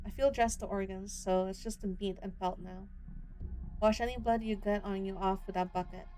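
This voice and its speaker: A woman's voice: mid-range voice, steady tone, moderate pace, mellow, lightly acted, poor audio. A woman voicing a stern, menacing older brother, a rural hunter in a visual novel, with commanding threats. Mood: threatening, commanding. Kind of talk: visual novel character read-aloud.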